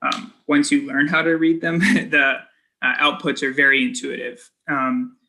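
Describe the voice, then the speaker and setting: A young man, a fellow student, asking halting questions on a video call with light laughs. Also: a little high, level tone, vocal fry, bright, forceful tone.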